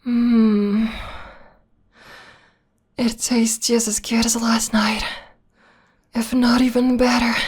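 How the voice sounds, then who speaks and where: A possessive, seductive female vampire, acted by a woman, murmurs to her lover in an intimate ASMR roleplay. Her voice is fairly high and breathy, often dropping to a whisper. She speaks slowly and evenly, doting and coaxing. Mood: enraptured, loving. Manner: soft, slow, coaxing.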